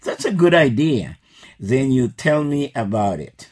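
Man, sixties, English teacher, slow patient explaining, scripted lesson. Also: medium pitch, big pitch swings. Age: 60s.

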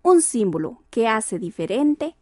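Slow, formal woman reader. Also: fairly high pitch, big pitch swings, dark tone, dry recording, studio quality. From Costa Rica. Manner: slow, careful, official recital. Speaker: narrator. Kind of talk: formal reading of a law text.

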